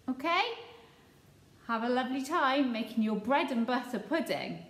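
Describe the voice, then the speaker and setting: A woman, teaching young children a simple recipe in a slow, sing-song way from her home kitchen. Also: mid-range voice, roomy sound. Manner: slow, sing-song, gestures as she explains. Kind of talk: cooking lesson for young children. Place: small home kitchen, indoors. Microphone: webcam or phone at close range.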